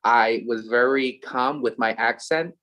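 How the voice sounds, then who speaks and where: A man's voice: medium pitch, measured pace. A man with a Philadelphia accent co-hosts an online event. He has a mid-range voice and moves between scripted thanks and casual asides. He drops in short Spanish phrases as he goes. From USA. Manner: warm, humble thanks sprinkled with Spanish phrases.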